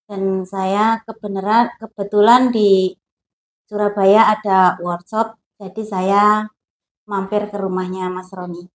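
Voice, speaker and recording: Woman, from East Java, interview guest, brief answers, soft affirmations, mid pitch. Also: little pitch movement, slow pace. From East Java, Indonesia. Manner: short, plain replies with soft affirmations. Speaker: guest, hypnosis alumna.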